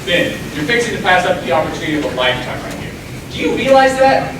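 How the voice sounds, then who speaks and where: A man's voice: high-pitched, big pitch swings, fast talker, far from the mic. A man acting in a stage play as a pushy, ambitious small-town schemer, folksy and insistent. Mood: persuasive. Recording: echoey stage recorded from afar, noisy.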